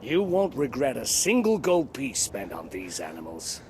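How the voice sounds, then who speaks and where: Give the sound of a stern garrison centurion from a fantasy game. His mid-pitched voice jumps around widely as he barks and haggles with open scorn. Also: huge pitch swings, normal speed, a little mellow, slight background noise. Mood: haggling, boastful. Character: gruff male centurion, stern drillmaster and dog trainer. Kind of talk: fantasy video game voice acting.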